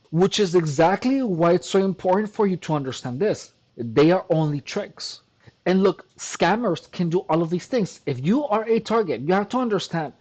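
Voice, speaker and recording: A man with a fairly high-pitched voice talks straight to his audience in a casual monologue. He is emphatic and keeps circling back, often breaking in with "look" and starting his sentences over. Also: darkish tone. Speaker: channel host. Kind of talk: casual talking-to-camera monologue.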